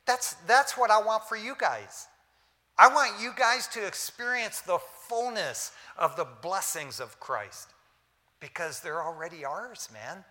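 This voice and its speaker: A man preaching a sermon, swinging from loud emphasis to hushed asides, with casual words like "man" and "amen" in between. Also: sits high, animated, a little slow. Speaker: pastor. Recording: long hall reverb.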